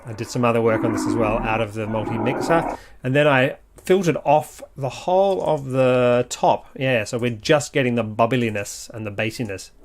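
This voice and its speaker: A man's voice: medium pitch, steady tone, moderate pace. Man giving a casual audio tutorial, faint noise. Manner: thinks aloud while demonstrating. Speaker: sound designer giving a tutorial. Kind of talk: casual software walkthrough.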